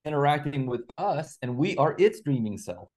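A man's voice: on the high side, very animated, normal speed, darkish tone. A man speaking as an interview guest, explaining ideas conversationally in a reflective way, like an author discussing his work. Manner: reflective, explains through analogies and examples.

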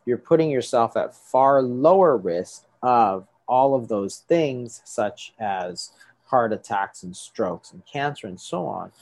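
A man's voice: medium pitch, darkish tone, no echo. A middle-aged man, a doctor, explaining things to an interviewer, often restarting and checking with "right?". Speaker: doctor treating patients.

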